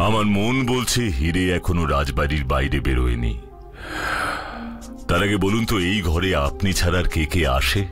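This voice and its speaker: A man voicing a calm, commanding superhero detective in a children's cartoon, questioning in a measured, assured way. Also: low voice, over music. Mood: inquisitive, assured.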